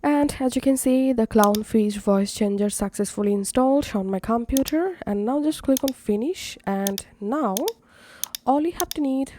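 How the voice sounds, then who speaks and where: A woman narrating a step-by-step software tutorial as its host. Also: medium pitch, a little hiss or hum.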